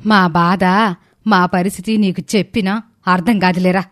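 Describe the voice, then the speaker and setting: A woman's voice: fairly low pitch, fairly even intonation, moderate pace, no room sound, studio quality, natural voice. A woman voicing a stern middle-aged mother in a story, blunt and complaining. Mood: stern, weary.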